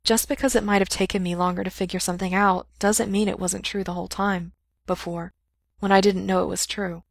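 Woman reading as a gentle, soft-spoken doctor. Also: mid-range voice, little pitch movement, vocal fry, no room sound, studio quality. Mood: quiet. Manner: soft and measured. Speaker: reader voicing a character.

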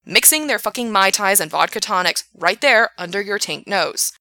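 A woman voicing an angry young male park worker who rants loudly and swears. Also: a little high, moderate pace, creaky, no echo, heavily acted. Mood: furious. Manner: loud, swearing, ranting.

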